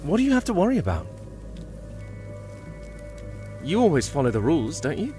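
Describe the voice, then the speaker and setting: An actor playing an excitable, teasing rabbit friend in a fantasy game, chatty and playful. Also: male voice, on the high side, very fast, soft background music. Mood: hushing, teasing. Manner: animated, playful. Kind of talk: video game voice acting.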